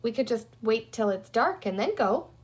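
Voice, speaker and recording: A woman's voice: a little high, sing-song, moderate pace. A woman voicing an eager, feisty little boy in a children's story, speaking in blunt, childlike bursts. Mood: questioning. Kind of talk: children's storybook character reading.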